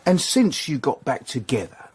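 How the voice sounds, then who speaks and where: A youngish detective in a radio drama. His medium-pitched voice is husky. He is dry, deadpan and persistent in his questioning. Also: normal speed. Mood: dry, probing. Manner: deadpan, probing.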